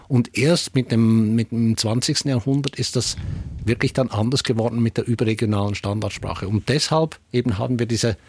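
A man's voice: medium pitch, steady tone, a little fast, a little mellow, husky. A man from Switzerland with a Swiss German accent, a dialect expert explaining at length, thoughtful and hesitant with many fillers and restarts. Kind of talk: explanatory talk-show conversation.

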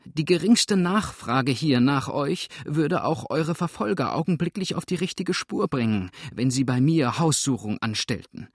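A frightened, prim widow from an audiobook, acted out by a man, pitched well up. The delivery is breathless and flustered, full of emphatic, pleading protest. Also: big pitch swings, moderate pace, breathy edge, dry recording, clean studio sound. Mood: desperate refusal. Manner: breathless, stammering, exclaiming protests. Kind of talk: audiobook character acting.

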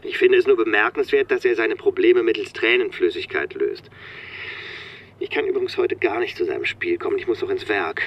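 A man's voice: on the low side, expressive, fairly quick, muffled recording, a little hiss or hum. An actor playing a harried, pedantic middle manager and father on phone calls in a radio drama, officious and a bit hesitant. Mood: defensive, pedantic. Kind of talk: naturalistic German radio drama dialogue. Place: phone calls made while driving a car.

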